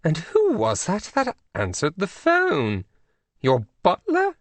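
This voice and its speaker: A man reading an audiobook voices a haughty elderly English queen, prim and slow-spoken. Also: sits high, huge pitch swings, no room sound, voice pushed up, full character voice. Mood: imperious.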